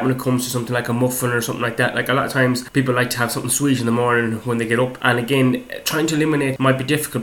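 A young man talks at a brisk pace, hosting his own fitness show. His voice is a little croaky from a cold. He rambles loosely and swears now and then in a casual way. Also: mid-range voice, fairly bright tone. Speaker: fitness podcast host. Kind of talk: casual solo chat.

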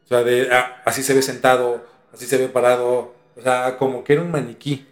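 A Mexican man hosting a podcast, telling things in an informal, halting way with some mild swearing. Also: medium pitch, flat delivery. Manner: informal, halting, mildly profane. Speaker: podcast host. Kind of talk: casual storytelling discussion.

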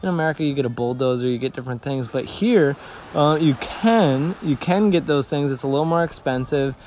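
A man raised in Tanzania speaks as a missionary, earnest and story-driven, often restarting his sentences. His voice sits a little high for a man. Faint outdoor ambience hums behind him. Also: brisk, darkish tone, slight background noise. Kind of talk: conversational interview.

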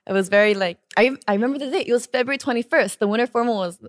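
A woman's voice: on the high side, very fast, no echo, studio quality. A woman in her twenties from Southern California, chatting as a guest on an interview show. She laughs often and talks in a chatty way. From United States, Southern California. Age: 20s. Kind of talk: casual interview chat.

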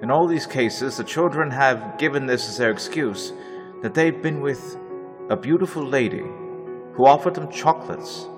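A man narrating a classic radio drama, reading journal entries in a measured, low voice. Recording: long reverb, faint music.

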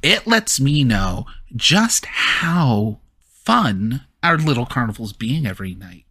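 A man's voice: medium pitch, sing-song, bright, forceful tone, breathy edge, no room sound, studio quality, heavily acted. A man voicing a theatrical male carnival owner who drawls out grand showman speeches. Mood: effusive. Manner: grand, drawn-out showman delivery.

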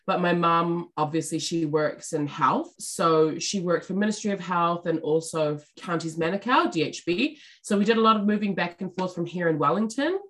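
A woman's voice: lowish voice, normal speed, few pauses, fairly bright tone. A young New woman, a musician, chats as a guest in a bubbly, jokey way. Her talk is full of fillers and easy laughter, and she speaks. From New Zealand. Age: young adult. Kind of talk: casual interview chat.

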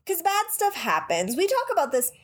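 A woman co-hosting a casual podcast chat, sounding nasal from a cold, animated and cursing freely. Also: extremely high pitch, moderate pace. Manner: swearing, animated, acts out quoted voices. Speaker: podcast co-host.

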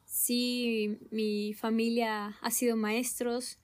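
A young woman with a Mexican accent, talking slowly and thoughtfully in a casual conversation, often pausing with hesitations. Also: on the high side, flat delivery. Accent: Mexican Spanish. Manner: slow, thoughtful, with many hesitations. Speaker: conversation partner.

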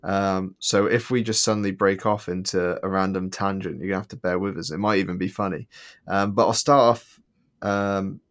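A man co-hosting a podcast in casual chat, rambling with many ums and restarts and slipping in jokes. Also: lowish voice. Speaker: podcast co-host.

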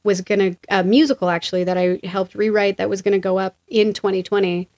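An American woman speaks as a guest in a relaxed interview. She talks fast and clearly. She tells stories with energy and acts out what other people said. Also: medium pitch. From United States. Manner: lively storyteller who acts out other people's lines. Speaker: guest, associate principal and former actor. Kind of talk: casual conversational interview.

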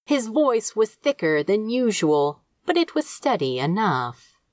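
A woman reading a story aloud as an audiobook narrator, measured and expressive in her scripted delivery. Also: medium pitch, dry recording, clean studio sound. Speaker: fan fiction audiobook narrator.

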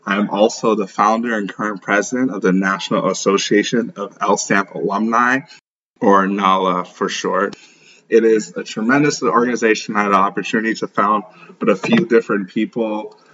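Podcast host, male, 30s, low-pitched, informal and encouraging solo talk, dull lo-fi recording. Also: steady tone, normal speed, fairly bright tone. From born in Lagos, Nigeria; grew up in St. Louis, USA. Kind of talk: casual solo podcast introduction. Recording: dull, muffled lo-fi podcast audio.

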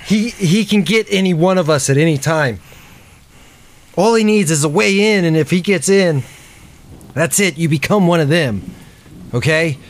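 A man playing a haunted, secretive character who gives halting, grave warnings about demons in a comic horror roleplay. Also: fairly high pitch, natural voice. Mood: urgent warning.

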